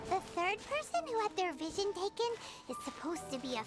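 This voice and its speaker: A tiny fairy sidekick from a video game, chirpy and blunt, with an extremely high voice that leaps wildly up and down. Her sing-song, excitable delivery rides over bright game music. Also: brisk.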